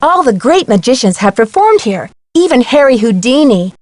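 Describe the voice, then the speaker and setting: A woman playing a curious, plucky young amateur detective in an adventure game, chirpy and clearly spoken. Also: on the high side, very animated, moderate pace, darkish tone, no room sound, clean studio sound. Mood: excited. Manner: inquisitive, chirpy, clearly articulated. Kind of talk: scripted adventure game dialogue.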